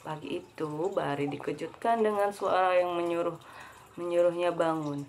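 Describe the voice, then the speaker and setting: A woman's voice: lowish voice, fairly even intonation, normal speed, darkish tone, a little hiss or hum. A young woman reading a children's story aloud to a child, breaking off for chatty asides. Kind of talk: casual read-aloud storytelling.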